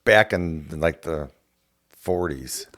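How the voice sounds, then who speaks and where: A man's voice: sits low, slow pace, a bit bright. A man co-hosting a podcast, dropping casual questions and dry, wry asides into the chat. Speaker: podcast co-host.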